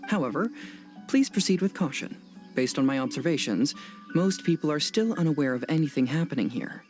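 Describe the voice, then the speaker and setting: An actor playing a serious, analytical young male detective in a video game dub, speaking in a measured, formal, matter-of-fact way. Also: on the high side, animated, a little mellow, faint music behind, natural voice. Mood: serious, investigative.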